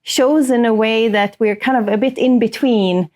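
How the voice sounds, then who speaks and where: A woman's voice: on the high side, steady tone, normal speed, darkish tone. Earnest woman from northern Sweden. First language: Swedish. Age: 30s to early 40s. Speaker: corporate sustainability executive, interview guest. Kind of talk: conversational interview answers.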